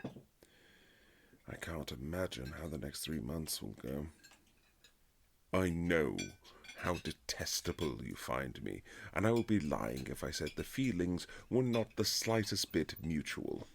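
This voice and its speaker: Man performing a reluctant aristocratic husband, extremely low gravelly voice, formal and sardonic, period romance roleplay. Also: sing-song, a little hiss or hum, full character voice. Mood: irritated, stiff. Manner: formal, dry, teasing, measured aristocratic phrasing. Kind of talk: romantic period-drama ASMR roleplay, scripted.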